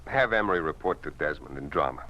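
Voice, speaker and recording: A man's voice: very deep voice, animated, quick pace, highs cut off. A man acting in a 1950s radio drama as a naive, well-meaning newspaper publisher who protests earnestly. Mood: genial. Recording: noisy old 1950s radio recording.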